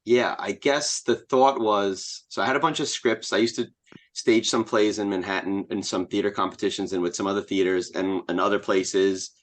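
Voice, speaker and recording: A man's voice: lowish voice, steady tone, moderate pace. A man as a podcast guest, telling anecdotes casually and often interrupting himself with side notes.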